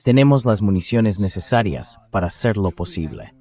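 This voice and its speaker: A man with a fairly low voice hosts a political talk show. He comments in an emphatic, sceptical manner and leans on pointed rhetorical questions. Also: very animated, moderate pace, mellow.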